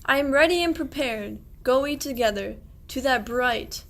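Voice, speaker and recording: A woman's voice: sits high, normal speed. A woman playing a kindly, devout medieval shepherd, declaiming rhymed verse in a radio play. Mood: hopeful.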